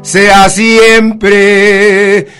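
Man singing slowly over guitar. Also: sits high, flat delivery, a bit bright. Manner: slow, heartfelt sung delivery. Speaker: singer-songwriter guest. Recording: guitar accompaniment behind voice.

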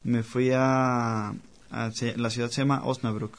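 A man's voice: medium pitch, flat delivery, unhurried. Chatty man, rambling. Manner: chatty, rambling, lots of fillers. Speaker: guest, cellist. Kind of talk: casual interview chat.

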